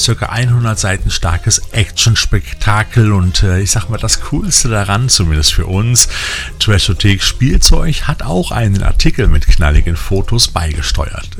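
A man hosting a scripted magazine-style show, narrating with colloquial, enthusiastic fan patter. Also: sits low. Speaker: podcast host. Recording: music playing behind the voice. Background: music bed.

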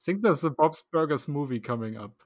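A man hosting a casual podcast, relaxed and laughing often, with loose, filler-heavy phrasing. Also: a little high, a little fast, no room sound, studio quality. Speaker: podcast host.

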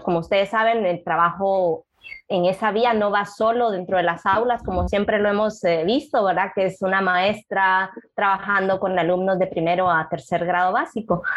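A woman's voice: mid-range voice, muffled recording. A woman from Guatemala with a Guatemalan accent, a panel guest telling her story unhurriedly with many fillers. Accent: Guatemalan Spanish.

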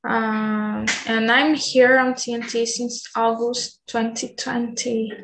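A woman's voice: a little high, level tone, slow pace, noisy background. A nineteen-year-old woman from Brazil with a Brazilian accent, hosting an English conversation group over a video call, hesitant with many ums. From Bahia, Brazil. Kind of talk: casual online English practice chat.

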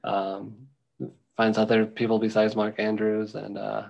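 Name: gravelly low-voiced guy. Tone: casual sports talk. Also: completely flat delivery, a little mellow, dull audio. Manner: rambling, halting, many ums and restarts. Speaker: podcast co-host.

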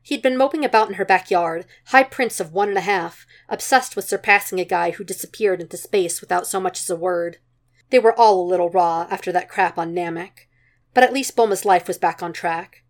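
A woman's voice: on the low side, fairly quick. A woman reading a story aloud as scripted narration, in the manner of an amateur audiobook. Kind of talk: scripted narration of fan fiction.

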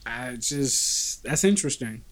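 A man hosts a casual group conversation in a medium-pitched voice. He puts questions to the others in an informal, conversational style. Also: animated, a little slow. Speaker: talk show host.

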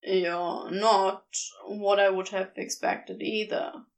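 A woman voicing a weary human man who talks in a dry, rueful, deadpan way. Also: medium pitch, takes it slowly, vocal fry, voice pushed down.

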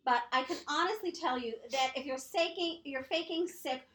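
A woman's voice: high-pitched, normal speed, a bit bright, roomy sound. A woman co-hosting a podcast, talking emphatically while getting over a cold and coughing. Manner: emphatic, motherly advice-giving. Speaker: podcast co-host. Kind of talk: casual chat.

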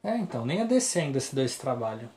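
Brazilian male podcast co-host, casual and slangy, restarts his sentences, mid-pitched voice, roomy echo. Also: sing-song, fast talker, a loud crowd. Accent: Brazilian Portuguese. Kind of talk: casual chat.

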